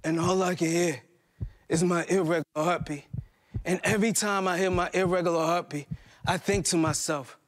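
A man in his thirties performs poetry live on stage in a high voice. His delivery is theatrical and rhythmic, leaning on repetition and dramatic pauses to drive each line. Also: steady tone. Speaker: spoken word poet performing a stage play. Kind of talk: theatrical spoken-word poetry monologue. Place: live stage in a plain hall before a small seated audience.

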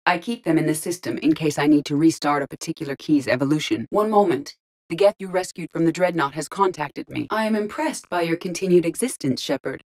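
A courteous female artificial intelligence from a sci-fi video game. The voice sits low and stays composed. Each phrase is measured and exact, with formal wording and a dry, deadpan delivery. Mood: composed, matter-of-fact. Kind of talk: scripted video game dialogue.

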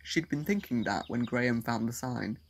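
A man's voice: mid-range voice, lively intonation, moderate pace. A man narrating a scripted audio story as its narrator.

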